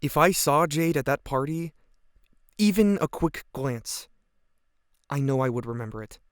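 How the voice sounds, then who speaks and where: An actor playing an anxious, wistful high school boy, speaking hesitantly and earnestly in a naturalistic audio drama. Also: lively intonation, measured pace, clear, resonant tone, no room sound, clean studio sound. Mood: worried.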